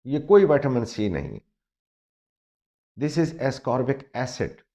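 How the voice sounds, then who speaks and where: A man's voice: mid-range voice, big pitch swings, drawn-out words, dark tone. A male doctor with a slightly sore throat gives earnest, explanatory health advice, dropping into English for emphasis. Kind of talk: health advice talk to camera. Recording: muffled lo-fi recording.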